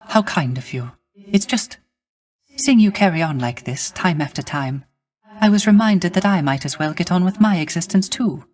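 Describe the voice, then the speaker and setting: A man playing a gentle, melancholy male musician of the underworld in a video game, speaking formally and courteously with wistful, poetic, old-fashioned phrasing. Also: sits high, lively intonation, normal speed. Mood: wistful, tender. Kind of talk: scripted video game character acting.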